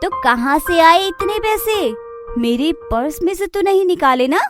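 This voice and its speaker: A woman playing a cruel, bossy young daughter-in-law in a cartoon story, scolding and accusing with a sharp tongue. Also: extremely high pitch, animated, a little slow, music in the background. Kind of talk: exaggerated Hindi cartoon story acting.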